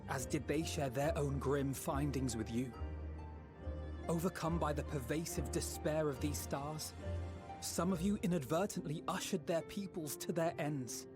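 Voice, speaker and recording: An earnest young male hero played by a man with a fairly high voice speaks deliberately and with compassion. It is dramatic video game acting, with music clearly behind him. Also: close to an everyday voice. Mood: grave, empathetic.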